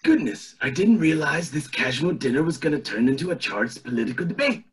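A man's voice: a little high, lively intonation, a little fast, slightly rough. A man on a video call playing a scheming, pompous old tycoon in an amateur cartoon table read, with theatrical delivery. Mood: smug, campaigning. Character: scheming old male tycoon running for governor.

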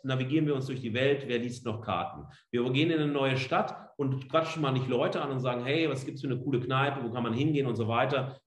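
A middle-aged man giving a free-spoken lecture as a professor, emphatic and colloquial, with rhetorical questions. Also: mid-range voice. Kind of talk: public lecture, spoken freely. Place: indoor room, echoey. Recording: echoey room sound.

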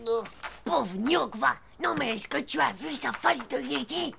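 A woman voicing a hot-headed, slangy schoolboy in a cartoon, with a gravelly voice and indignant, whiny protests. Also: high voice, animated, measured pace, pushed and bright, a little hiss or hum, heavily acted. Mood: scornful. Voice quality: gravelly, creaky. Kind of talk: animated cartoon dubbing in French.

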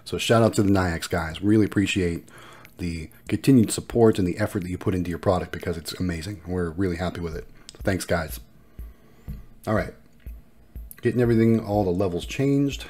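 A man's voice: sits low, a little fast, darkish tone. A man narrating a casual vlog in an upbeat, appreciative way, explaining things as he goes. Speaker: vlogger and vending machine operator.

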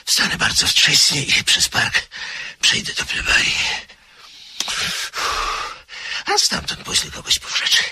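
A man's voice: moderate pace, pushed and bright. An actor playing a nervous, earnest man in a radio play, pleading and agitated. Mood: anxious.

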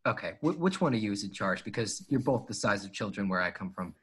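A man's voice: fairly low pitch, big pitch swings, fast talker, slightly rough, full character voice. A man playing a cocky, easily exasperated starship pilot in a casual, wry way at a script table read. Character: cocky, exasperated young male starship pilot in sci-fi film. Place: home, over video call.

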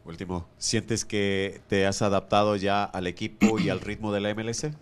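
A man with a very deep voice poses formal, measured questions to a player. His voice is clean and smooth. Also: level tone. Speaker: reporter. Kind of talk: press conference questions.

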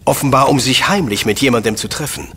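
An actor playing an unflappable, pedantic professor detective in a German audio drama, speaking precisely and condescendingly. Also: male voice, medium pitch, fast talker, fairly bright tone, slightly rough. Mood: politely probing.

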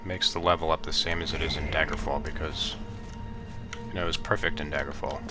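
A man casually commenting on his gameplay, a little hoarse from a cold, talking in a relaxed, halting way with many ums. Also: very deep voice. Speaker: let's play gamer. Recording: game music playing behind.

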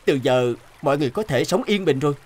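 A man voicing a brave, gentle young hunter in an animated fairy tale, earnest and protective. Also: fairly high pitch, fast talker. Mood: sincere, loving. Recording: music behind the voice.

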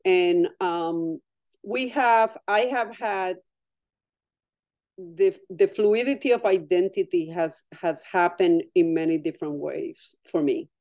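A woman's voice: fairly low pitch, slow pace, a little mellow, no echo. A woman artist, a native Spanish speaker, talking thoughtfully over a video call from home and often restarting her sentences. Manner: thoughtful, with frequent restarts and repeated words. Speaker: artist interviewee. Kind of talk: reflective conversation.

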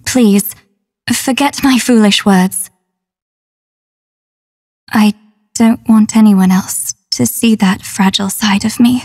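A woman playing a solemn, tender female android soldier in a video game, speaking in a measured, formal, earnest way. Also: medium pitch, fairly even intonation, a little mellow, slightly rough, full character voice. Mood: solemn, wistful. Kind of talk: English dub game voice acting.

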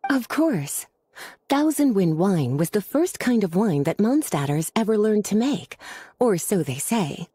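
Mellow, teasing witch. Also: medium pitch, big pitch swings, a little slow, slight background noise. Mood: intrigued. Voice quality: velvety, soft. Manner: nurturing, coquettish, leisurely explanatory. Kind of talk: video game character acting.

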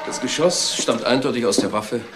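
An actor playing a matter-of-fact police detective in a crime drama. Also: male voice, medium pitch, moderate pace, over loud music, close to an everyday voice. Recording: loud background noise with clicks.

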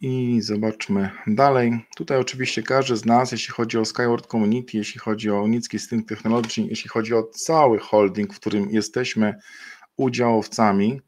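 A man's voice: fairly low pitch, fairly even intonation, moderate pace, darkish tone. A middle-aged man presenting a webinar over a webcam, explaining a program unscripted with frequent fillers and self-corrections.

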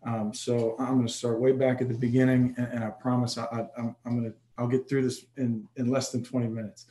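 A man's voice: medium pitch, flat delivery, brisk, dark tone. A man in his forties from Detroit, an athletic director, telling his background on a video call in a friendly way, often repeating words as he starts sentences. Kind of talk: personal story on a video call. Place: office, indoors. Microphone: webcam on a video call. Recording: echoey office.

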